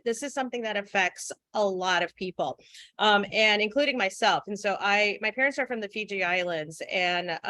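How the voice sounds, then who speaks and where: A woman's voice: mid-range voice, sharp tone, dry recording. A woman in her forties giving an earnest, frank webinar talk, with many fillers. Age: 40s. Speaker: guest speaker. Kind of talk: webinar presentation.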